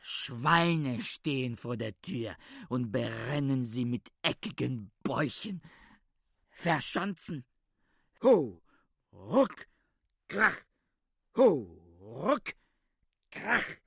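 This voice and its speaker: A man's voice: medium pitch, sing-song, drawn-out words, gravelly, long pauses, breathy edge, no echo, studio quality, exaggerated voice. An actor in a radio drama playing a paranoid, raving male scholar who repeats words obsessively in a frantic, muttering way. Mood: manic. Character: paranoid, raving male scholar barricading himself among books.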